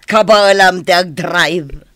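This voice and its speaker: A woman's voice: on the low side, takes it slowly, fairly bright tone, dry recording. A woman playing a nagging, suspicious wife, scolding insistently in an Ilocano radio drama.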